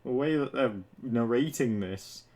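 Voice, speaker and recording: A man's voice: medium pitch, measured pace, a little mellow. A man reading fan fiction aloud as a narrator, breaking off into casual, mocking asides with some swearing. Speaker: reader of fan fiction for an online video.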